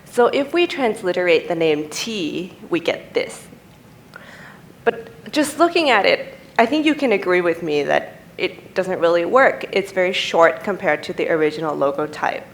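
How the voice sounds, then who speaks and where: A woman's voice: fairly low pitch, slight background noise, rough recording. Young woman presenting a design talk. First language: Thai. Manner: measured, explanatory, steady delivery. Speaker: type designer giving a conference talk. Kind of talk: presenting slides at a design symposium. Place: conference stage, indoors.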